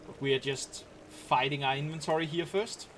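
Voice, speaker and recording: A man's voice: fairly high pitch, steady tone, moderate pace, fairly bright tone, slight background noise. A man with a Danish accent casually talking through a game on a live stream, rambling and explaining as he plays, with frequent ums and restarts. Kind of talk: casual live-stream commentary while playing.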